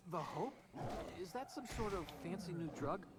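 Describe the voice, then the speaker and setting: An actor playing a wounded, officious frontier guard in a video game, folksy and earnest, reciting company patter in a drawl. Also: male voice, high voice, animated, normal speed, over loud music. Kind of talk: video game character acting.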